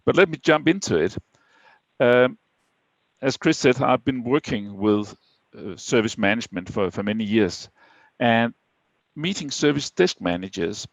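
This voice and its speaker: A man from Denmark, speaking English with a Danish accent, presenting a prepared webinar talk in a measured, slightly halting way. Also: medium pitch, animated, creaky, no echo, lo-fi sound.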